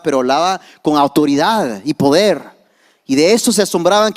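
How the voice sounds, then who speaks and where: A young male pastor, a native English speaker, preaching a sermon with casual asides and restarts. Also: fairly high pitch.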